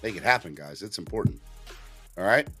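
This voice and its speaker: Lower-voiced guy hosting a fan podcast, voice just back, pushy and animated, faint music under. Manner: animated, jumps into high put-on voices for quick bits. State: voice just recovered from hoarseness. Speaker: podcast host. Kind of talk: casual live podcast chat.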